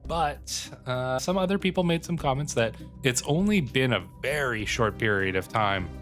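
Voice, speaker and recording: A man hosts a gaming video in a fairly low voice, with clear music playing underneath. He is sick with a cold and talks casually, explaining things in an unhurried, musing way as he narrates his roleplay. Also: a bit bright. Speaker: YouTube gaming host. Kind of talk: casual narrated strategy game roleplay commentary.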